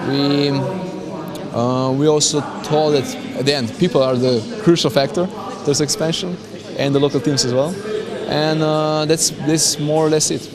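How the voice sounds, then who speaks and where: A man with a Slovenian accent answering interview questions as a company founder, halting as he searches for words. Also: on the high side, steady tone. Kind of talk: interview answers at a business conference. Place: crowded conference venue. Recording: busy event with loud crowd chatter. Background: loud crowd chatter.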